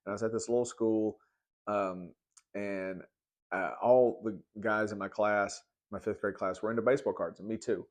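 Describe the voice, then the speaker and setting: A man's voice: lowish voice, fairly even intonation, moderate pace. A middle-aged American man giving an informal, conversational devotional talk with personal stories. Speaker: host of a church read-along.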